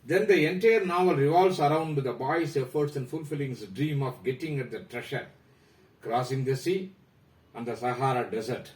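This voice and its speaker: A man with an Indian accent, presenting a prepared talk about a novel in a formal, measured way that trails off at the ends of phrases. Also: medium pitch, reverberant, far from the mic, low-quality recording. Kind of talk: reading a prepared literary talk.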